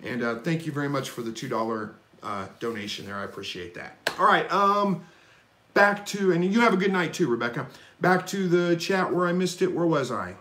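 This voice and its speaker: A man with a somewhat high voice chats casually and folksily, wandering through his answers as he hosts a live stream. The sound is roomy and echoey. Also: normal speed, a little hiss or hum. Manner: relaxed, folksy, rambling with fillers. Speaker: livestream host answering viewer questions.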